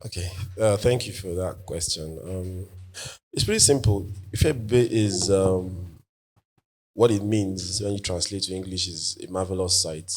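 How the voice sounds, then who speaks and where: A Nigerian man in his forties whose first language is Igbo, answering panel questions as an artist in a thoughtful, halting way, with many fillers and restarts. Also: low-pitched.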